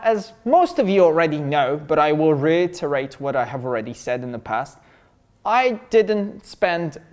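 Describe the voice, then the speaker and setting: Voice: medium pitch, darkish tone. Teacher, breezy, chummy, casual lesson intro, mid-range voice. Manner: breezy, chummy greeting to students. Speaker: English teacher.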